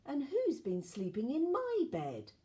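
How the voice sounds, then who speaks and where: A woman voicing a gentle mother bear in a children's story, with a lighter, measured, questioning voice. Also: high voice, wildly swooping pitch, voice pushed up, full character voice. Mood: mild indignation. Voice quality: quieter, lighter. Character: quieter, gentler mother bear, adult female.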